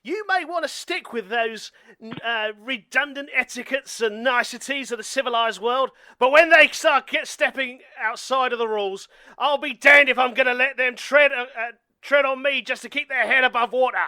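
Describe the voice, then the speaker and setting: Man acting a pompous, bright, high-pitched doom-monger. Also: moderate pace, clear, resonant tone, no echo, full character voice. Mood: indignant. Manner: pompous, wordy. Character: indignant doom-mongering male train passenger. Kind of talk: amateur radio play acting.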